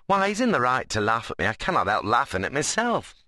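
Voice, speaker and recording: A devout, good-humoured young rustic, played by a male narrator in an audiobook. He coaxes mildly in dialect. His voice is medium in pitch and bright, recorded dry. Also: huge pitch swings, fast, dry recording. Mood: persuasive. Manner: mild, persuasive rustic dialect.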